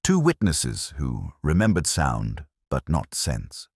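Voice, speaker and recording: A man giving a measured, brooding first-person narration of a detective story. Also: extremely low pitch, huge pitch swings, creaky, darkish tone, husky, dry recording, clean studio sound. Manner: measured, brooding, literary delivery.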